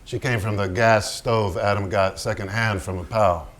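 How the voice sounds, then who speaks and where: An actor playing a hard-drinking, tormented painter in a theatrical staged monologue, profane, brooding and rambling. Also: male voice, fairly low pitch, steady tone, normal speed, a bit bright, close to an everyday voice. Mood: sardonic. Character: hard-drinking, foul-mouthed, tormented male painter, self-mocking. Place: staged reading in a barn before an audience.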